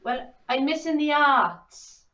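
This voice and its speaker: A scolding, sarcastic expedition researcher played by a woman speaks in a high voice. The sound is roomy and echoey, carried over a dull, muffled video link. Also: expressive, slow pace, pushed and bright, exaggerated voice. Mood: suspicious. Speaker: actor in a telepresence improv rehearsal.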